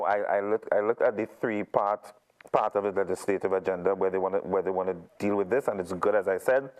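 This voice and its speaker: Deep-voiced Trinidadian male interviewer. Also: darkish tone. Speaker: interviewer, show host. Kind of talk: conversational radio interview.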